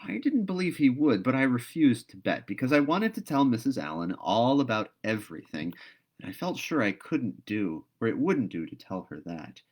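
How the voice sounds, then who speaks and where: A man's voice: medium pitch, very animated, moderate pace, darkish tone. A man voicing a talkative, dreamy young girl in a storybook read-aloud, earnest and gushing. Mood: earnest, chatty.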